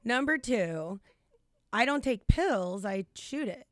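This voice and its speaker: A podcast co-host, a man, cracking jokes at his own expense in a high, childlike voice. His banter is quick and self-deprecating, and the studio sound is close and dry with no room in it. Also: expressive, fairly bright tone, no echo. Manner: joking, self-mocking, says he uses a high baby voice on the podcast. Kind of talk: casual comedic chat.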